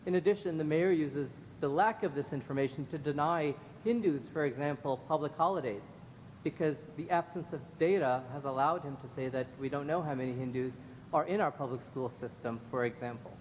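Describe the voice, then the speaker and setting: A man's voice: a little fast, darkish tone. A man giving earnest, formal prepared testimony as a member of the public at a hearing. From Guyanese background. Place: large indoor public hearing room. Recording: echoey hall, clear rumbling room noise.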